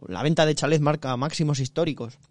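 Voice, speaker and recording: A man's voice: a little high, fast talker, no echo, studio quality. A man with a Spanish accent co-hosting a finance podcast, chatty and colloquial with wry humour. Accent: Spanish from Spain. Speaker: podcast co-host. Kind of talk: casual chat.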